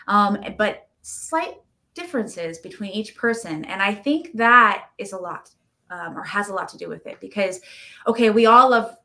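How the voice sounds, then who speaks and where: Bright, sharp-voiced woman in casual chat. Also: mid-range voice. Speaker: band musician, interview guest. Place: indoor room, video call.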